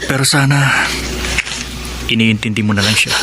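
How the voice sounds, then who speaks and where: A man's voice: lowish voice, moderate pace, heavy background noise, natural voice. A man playing a patient, conciliatory older brother in a radio drama, counseling earnestly. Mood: earnest, consoling. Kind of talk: Tagalog radio drama acting.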